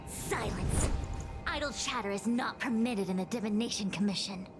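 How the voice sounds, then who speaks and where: A woman's voice: mid-range voice, normal speed, close to an everyday voice. Woman as imperious young master diviner, formal, commanding, stern, game cutscene, background music. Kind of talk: video game cutscene acting.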